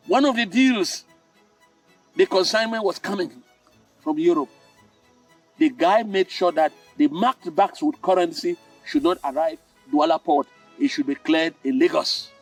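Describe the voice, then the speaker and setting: An older man with a Cameroonian accent hosts a storytelling talk in a deliberate, emphatic way, circling back to repeat his main phrases. His voice sits a little high for a man. He is slightly away from the mic in a fairly dry space, with soft music underneath. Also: recorded from a distance, poor audio. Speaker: storytelling host.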